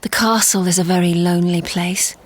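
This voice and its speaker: A woman playing a sheltered, wistful young gentlewoman in a gothic audio drama, speaking in a measured, literary way. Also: lowish voice, fairly even intonation, natural voice. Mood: wistful, haunted. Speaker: lead actress and narrator. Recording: audio drama with clear background ambience.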